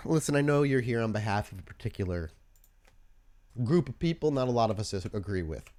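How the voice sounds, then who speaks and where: A man voicing a wary, street-smart vampire woman in a tabletop game, speaking in a casual, blunt, halting way. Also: on the low side, lively intonation, a little mellow, natural voice. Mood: guarded, wry.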